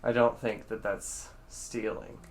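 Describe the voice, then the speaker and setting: A man's voice: fairly low pitch, level tone, takes it slowly, over a noisy crowd. A man hosting a podcast, talking in an easygoing casual way and laughing readily. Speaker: podcast host.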